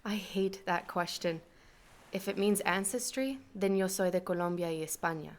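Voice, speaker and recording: A young woman from Texas giving a reflective, searching monologue with a quietly wry edge. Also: on the low side, fairly even intonation, normal speed. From Texas, United States. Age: young adult. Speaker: interviewee in a video installation.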